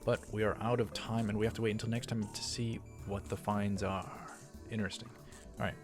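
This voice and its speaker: A man's voice: fairly low pitch, little pitch movement, brisk. A man hosting a let's play, reading a visual novel script aloud as narrator and for its characters. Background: game music.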